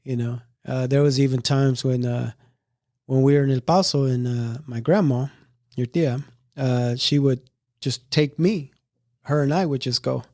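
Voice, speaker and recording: A man's voice: medium pitch, steady tone, a little slow, mellow, dry recording, clean studio sound. A middle-aged man hosting a family podcast, chatting casually and rambling with many restarts. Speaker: podcast host.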